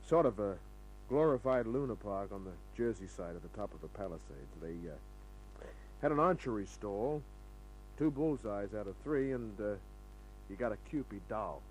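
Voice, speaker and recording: Low-voiced wisecracking radio detective played by a man. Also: lively intonation, moderate pace, darkish tone, natural voice, poor audio. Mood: teasing, casual. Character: wisecracking male private detective, flirtatious, tough, easygoing. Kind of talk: old-time radio drama acting with first-person narration. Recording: old radio recording with hum.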